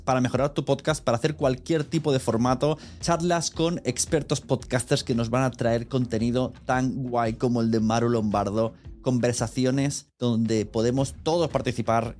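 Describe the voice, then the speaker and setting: A man's voice: mid-range voice, darkish tone. A man with an accent from Spain, talking as a podcast host in an informal, colloquial and jokey way. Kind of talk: casual interview chat.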